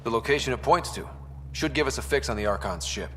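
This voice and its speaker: An actor playing an earnest, wry young leader of space explorers in a video game cutscene, speaking calmly. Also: male voice, mid-range voice, fast talker, faint music behind, close to an everyday voice. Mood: casual, wry. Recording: long reverberant hall echo.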